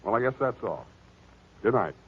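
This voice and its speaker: A hardboiled private detective of 1940s radio drama. His low voice moves in lively swings, sardonic and mock-formal. The sound is a narrow vintage broadcast. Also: normal speed, slightly rough. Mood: wry, pompous.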